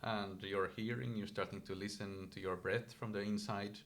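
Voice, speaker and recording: Finnish guy, swedish is his first language, lowish voice, explains stuff patiently with little restarts. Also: fairly even intonation, normal speed, fairly bright tone. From Finland. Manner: thoughtful, explains step by step with small restarts. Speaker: yoga expert guest. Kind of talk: casual explanatory conversation.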